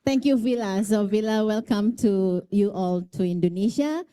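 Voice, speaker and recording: A woman's voice: medium pitch, moderate pace, mellow, clean tone. A woman moderating a conference, briefly introducing the next speaker.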